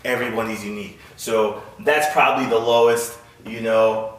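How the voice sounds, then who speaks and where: A man's voice: fairly low pitch, steady tone, normal speed, a little hiss or hum. A man giving an informal, blunt instructional talk as a coach, explaining points and often checking in with the listener. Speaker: music industry coach, video host. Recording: roomy echo with clear music underneath. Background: music.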